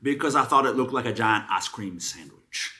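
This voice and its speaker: Bright-voiced Southern man, theatrical reader. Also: medium pitch, expressive. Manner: theatrical, emphatic, mock-serious reading with drawn-out words. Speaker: comedy show co-host. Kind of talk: dramatic reading of product reviews with casual banter.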